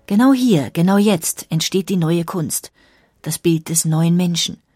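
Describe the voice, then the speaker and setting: A woman reading scripted biographical narration in a measured, polished storytelling manner. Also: fairly low pitch, mellow, slightly rough, no room sound, studio quality.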